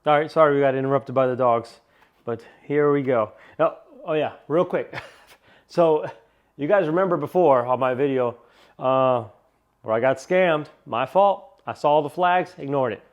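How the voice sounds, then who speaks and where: A man chatting through a casual car-parts unboxing, excitable and rambling, still a little sniffly from a cold. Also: mid-range voice, moderate pace, narrow, tinny audio. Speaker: car vlogger.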